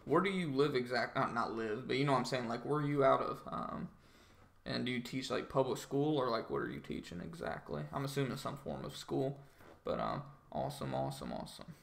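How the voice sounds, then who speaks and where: A man's voice: mid-range voice, animated, fast, creaky, a bit bright. A young man with a groggy voice, chatting casually with viewers on a trading livestream, full of ums and likes. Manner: rambling, filler-heavy, says "like" and "um" constantly.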